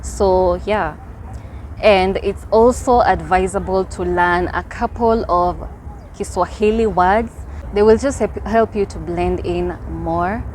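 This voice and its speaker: A woman's voice: lowish voice, slow, a little hiss or hum. A young Kenyan woman with a Kenyan accent, vlogging outdoors in a city park and giving upbeat travel tips. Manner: upbeat, explanatory, warm welcome to viewers. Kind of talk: casual travel vlog.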